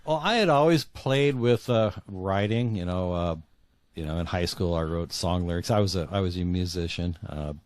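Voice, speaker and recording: A middle-aged man with a low voice, recorded dry and close. He talks as an interview guest reflecting on his own life, halting and repeating words for emphasis. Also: dry recording. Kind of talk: reflective personal storytelling in an interview.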